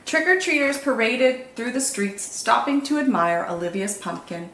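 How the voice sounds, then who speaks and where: Expressive woman reading picture books, roomy sound. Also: on the high side, normal speed, slight background noise. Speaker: children's storytime host and reader. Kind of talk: expressive picture-book narration.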